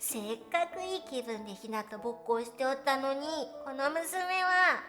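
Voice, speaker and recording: Girl doing a high-pitched ancient cat goddess, haughty n old-timey talk, grumbly but fond, telling a story over music. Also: normal speed, sharp tone. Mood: exasperated, fond. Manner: haughty, archaic old-fashioned lordly speech. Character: proud thousand-year-old female cat god, grumbling but fond. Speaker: virtual streamer in character. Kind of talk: dramatic first-person storytelling monologue.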